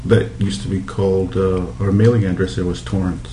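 A man's voice: low voice, flat delivery, a little fast, dark tone. A middle-aged man from Hawaii being interviewed, reminiscing in casual conversation. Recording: echoey room with background noise.

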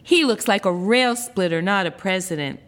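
A woman's voice: mid-range voice, animated, moderate pace, close to an everyday voice. A woman voicing a mocking, teasing boy in a storybook reading.

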